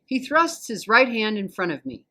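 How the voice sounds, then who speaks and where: A woman with an American accent reading a children's novel aloud as an expressive storyteller. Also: fairly high pitch, normal speed. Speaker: volunteer storybook reader.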